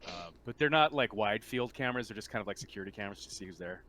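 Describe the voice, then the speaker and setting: Low-voiced man running a game, noisy recording. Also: lively intonation, a bit bright. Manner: halting, informal delivery. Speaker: game master. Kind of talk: improvised tabletop game narration, casual.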